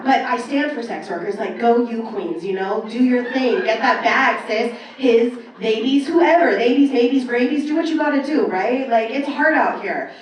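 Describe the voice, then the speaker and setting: Echoey, high-voiced woman doing brash stand-up. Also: a little hiss or hum. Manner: animated storytelling, acting out quoted exchanges; brash, casual, profane. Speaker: stand-up comedian. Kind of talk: stand-up comedy set. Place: live comedy club stage. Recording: roomy echoing club sound, distant.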